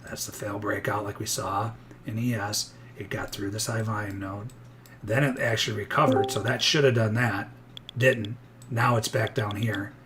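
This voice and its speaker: Man, slightly hoarse, lowish voice, chatty live trading commentary with clear noise underneath. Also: steady tone, normal speed. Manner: chatty, thinks aloud, many restarts. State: a little hoarse. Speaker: futures day trader streaming live.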